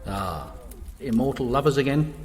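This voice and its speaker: An actor playing a dutiful, dryly unflappable servant to a vampire in a comedy audio drama, deferential in manner. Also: male voice, medium pitch, lively intonation, normal speed, a little mellow, natural voice. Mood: dryly amused. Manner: deferential, dry. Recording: long echoing hall reverb.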